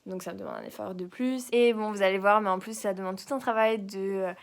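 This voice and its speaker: A woman's voice: mid-range voice, a little fast, gravelly. A young woman, a doctoral student speaking French as a second language, talking casually in a video diary from a hotel room, hesitant and searching for words with light laughs. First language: not French.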